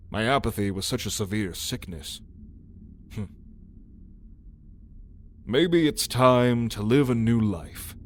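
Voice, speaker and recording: A man's voice: medium pitch, a little hiss or hum, lightly acted. A man voicing a lazy, playful old male dragon in an ASMR roleplay, with a teasing, sardonic drawl. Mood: wry musing. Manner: unhurried, teasing, chuckling drawl. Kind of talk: ASMR roleplay addressed to the listener.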